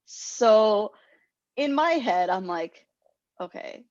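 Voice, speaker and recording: A woman's voice: mid-range voice, animated, drawn-out words, darkish tone, no room sound. Woman, young adult, casual chat, laughs often, dull dry recording. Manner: rambling, self-deprecating, laughs a lot. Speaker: podcast co-host.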